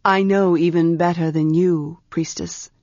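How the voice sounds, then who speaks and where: A woman voicing a scarred dragon lord held captive, a calm, grave man who speaks gently and with measured reluctance in a storybook reading. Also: on the low side, no room sound, lo-fi sound, close to an everyday voice. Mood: grave, insistent.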